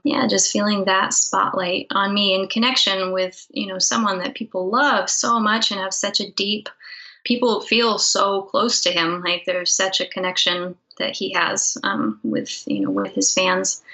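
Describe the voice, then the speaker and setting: Bright-voiced woman chatting candidly. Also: mid-range voice, poor audio. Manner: candid, self-deprecating. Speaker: interview guest, folk musician and songwriter. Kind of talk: casual interview chat.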